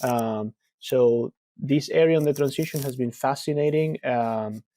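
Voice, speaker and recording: A man from Argentina in his thirties, a researcher answering interview questions in a relaxed, explanatory way with frequent fillers. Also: mid-range voice, measured pace. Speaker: guest researcher.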